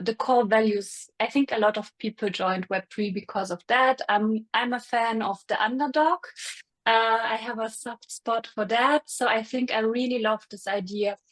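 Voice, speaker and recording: A woman from Germany with a German accent, speaking casually as a founder being interviewed, often dropping in "like" and "you know". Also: fairly high pitch. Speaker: interview guest, startup founder.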